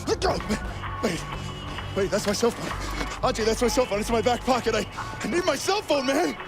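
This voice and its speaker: A man's voice: very high voice, lively intonation, moderate pace. An actor playing a desperate captive villain in a video game, a frantic father who curses and pleads. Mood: frantic pleading. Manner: shouting, pleading, cursing. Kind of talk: video game cutscene acting. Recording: loud music behind.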